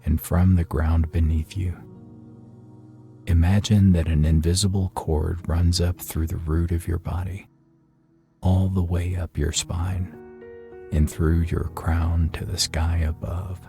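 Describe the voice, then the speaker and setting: A man leading a scripted guided meditation in a soothing, unhurried manner with long pauses. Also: sits low, little pitch movement, gravelly. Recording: soft music behind the voice. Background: faint meditation music.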